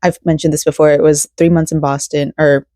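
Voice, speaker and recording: A hoarse American woman in her thirties talking casually and ramblingly, as in a personal vlog. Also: deep voice, level tone, brisk, dark tone, no room sound, studio quality. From Florida, United States. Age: 30s. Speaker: vlogger.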